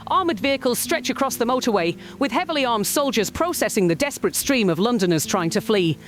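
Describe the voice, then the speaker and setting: A woman's voice: a little high, lively intonation, quick pace, natural voice. A woman playing an urgent field correspondent in an audio drama, intense and formal. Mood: urgent, shaken. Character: urgent female field correspondent at a military checkpoint. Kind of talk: scripted on-scene news report.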